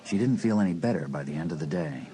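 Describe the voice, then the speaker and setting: A man with a husky voice narrating a dramatised audiobook from a script. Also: low-pitched, expressive, fast talker, mellow.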